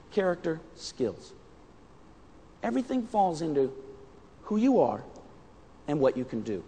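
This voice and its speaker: A middle-aged American man giving an interactive keynote speech, projecting emphatically to his audience. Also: sits high. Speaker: motivational keynote speaker. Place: stage in a large indoor conference hall. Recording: reverberant large hall.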